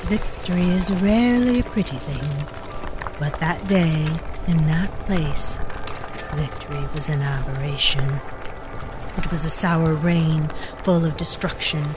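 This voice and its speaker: A woman playing a grave storyteller of dark tales in an audio drama, narrating deliberately and ominously over music. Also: deep voice. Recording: loud music under the voice.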